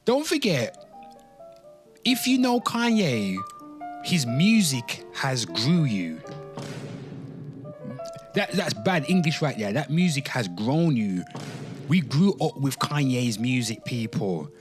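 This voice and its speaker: A man's voice: medium pitch, sing-song, slow pace, pauses often, over music. A man giving informal, emphatic livestream commentary, repeating his phrases and speaking straight to his listeners, with occasional swearing. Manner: emphatic, repetitive, swearing, addressing listeners directly. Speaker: streamer, commentator giving opinions. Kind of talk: informal livestream commentary with some reading aloud.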